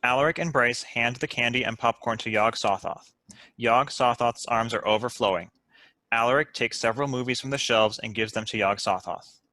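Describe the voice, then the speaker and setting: Bright, dry-sounding man reading stage directions. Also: mid-range voice, normal speed, dry recording. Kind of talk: scripted narration.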